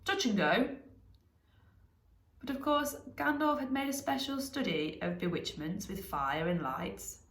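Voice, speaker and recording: A woman narrating a storybook aloud in an expressive reading style. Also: medium pitch, normal speed, few pauses, reverberant.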